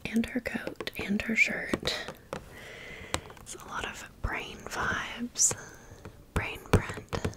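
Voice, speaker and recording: A woman speaks in a breathy whisper, rambling casually with playful dry asides as she presents items in an ASMR show-and-tell. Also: very slow, long pauses. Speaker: ASMR content creator presenting toys.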